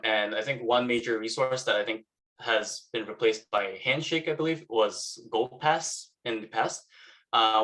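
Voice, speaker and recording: Halting young man from Malaysia, bright voice. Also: fairly low pitch, low-quality recording. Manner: halting, many fillers. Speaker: alumnus speaker. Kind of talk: casual talk with slides.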